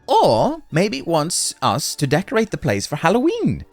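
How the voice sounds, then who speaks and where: Man voicing an earnest, high-pitched young woman. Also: huge pitch swings, normal speed, dry recording, soft background music. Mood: eager.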